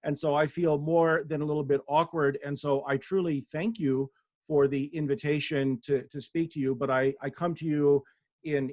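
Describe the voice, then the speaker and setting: Man, guest author, humble, hesitant fillers, higher voice, dry close sound. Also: dry recording. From Denver, USA. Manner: self-deprecating, frequent fillers and restarts. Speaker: guest speaker, author and professor. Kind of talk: humble, rambling talk on a video call.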